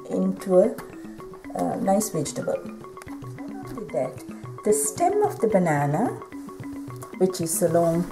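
A middle-aged woman, a nutritionist, explaining step by step as she cooks in a home kitchen, pausing often with fillers. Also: medium pitch, echoey room, far from the mic, low-quality recording. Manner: measured, explanatory, with frequent pauses and fillers. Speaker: nutritionist giving a cooking demonstration. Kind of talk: unscripted cooking demonstration.

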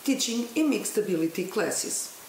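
A woman from Portugal, a maths teacher, giving a scripted presentation in a clear, even way. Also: mid-range voice, normal speed. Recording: echoey room with faint hiss.

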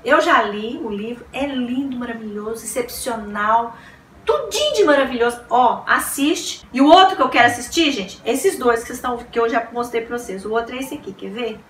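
Woman in her 40s, vlog host; high, bright, excitable; roomy, slightly distant room sound with faint hiss. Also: normal speed. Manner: gushing, exclaims and repeats words. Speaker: book vlogger. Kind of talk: casual chat to camera. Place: small decorated room indoors.

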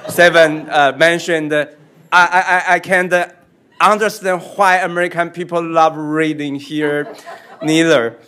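A young man from Taiwan speaking English slowly, with a light, fairly high voice. His casual talk is self-deprecating and full of fillers. He speaks at a lectern mic in a library meeting room. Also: steady tone, sharp tone, clean tone. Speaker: visiting writer on a panel. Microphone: lectern microphone.

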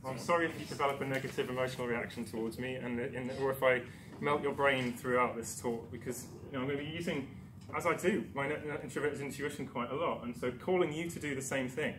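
A man presents to a conference audience in a darkened, echoey lecture room, picked up by a far camera. He talks in a casual, self-deprecating way, full of ums and restarts. His pitch is middling, and a steady noise sits behind him. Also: fast. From Newcastle, England. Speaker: conference speaker. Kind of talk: presentation talk.

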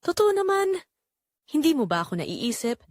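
A woman's voice: high voice, very animated, moderate pace, no room sound, studio quality, pitched above the performer's own voice. A woman voicing a flirtatious, persistent male online suitor, coaxing and romantic, in an audio drama. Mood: longing.

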